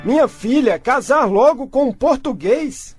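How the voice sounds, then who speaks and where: A man's voice: very high-pitched, animated, measured pace, darkish tone, faint music behind. An actor playing a stern, grumpy middle-aged father in a radio soap, bossy and scolding, with a Brazilian accent. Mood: stern, indignant. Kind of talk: radio soap opera acting.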